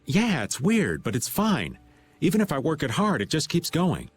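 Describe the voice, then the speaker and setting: A man's voice: fairly high pitch, huge pitch swings, moderate pace, faint music behind. A man voicing a playful, world-weary older man in a video game dub, with a teasing, theatrical, laid-back manner. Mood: wry, tired.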